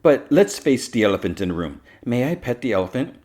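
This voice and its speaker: A man's voice: mid-range voice, big pitch swings, fairly quick, a little mellow, pitched above the performer's own voice, lightly acted. A man voicing an imperious female celebrity interviewer with a grand, commanding, theatrical delivery. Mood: pointed.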